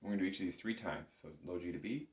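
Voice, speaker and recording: A man speaks in a low register with noticeable vocal fry, sounding like a music teacher. He explains each step patiently and carefully, as in a practical lesson. The room adds a clear echo around his voice. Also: rapid-fire. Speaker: music instructor. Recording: roomy, echoing room sound.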